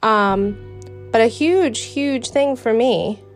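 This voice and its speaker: A woman's voice: on the high side, slow, faint music behind. A young adult woman with a New York accent, hosting a podcast and talking in an earnest, chatty way about her faith. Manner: earnest and chatty, with fillers and restarts. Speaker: podcast host. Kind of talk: casual faith podcast monologue.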